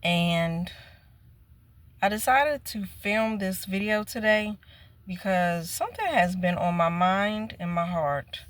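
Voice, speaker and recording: Slow-spoken woman in her fifties. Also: mid-range voice, animated. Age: 50s. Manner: slow, reflective, earnest. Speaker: vlogger. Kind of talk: casual personal vlog.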